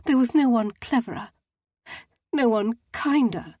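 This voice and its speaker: A woman's voice: medium pitch, normal speed, darkish tone, breathy edge, no room sound, clean studio sound, voice pushed up, natural voice. A woman voicing a distraught young society woman who speaks in a quaking, tearful voice. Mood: sorrowful.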